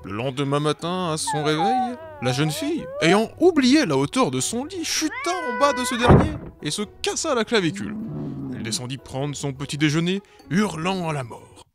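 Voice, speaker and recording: A man's voice: a little high, very animated, moderate pace, over music. A man narrating a cartoon fairy tale in a mock-solemn, playful way. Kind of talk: storybook narration.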